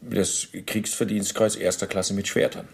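A low-voiced, officious war criminal. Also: male voice, flat delivery, measured pace. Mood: cooperative, precise. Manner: formal, officious, clipped official phrasing. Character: male Nazi war criminal under interrogation, bureaucratic, self-justifying. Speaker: actor in a radio play. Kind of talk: German radio docudrama interrogation acting.

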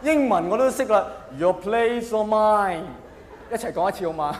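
Hong kong guy doing standup, high voice, animated and self-mocking, really echoey hall. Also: moderate pace. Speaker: stand-up comedian. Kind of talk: stand-up comedy monologue. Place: large live venue with long reverb. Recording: echoey hall, faint noise.

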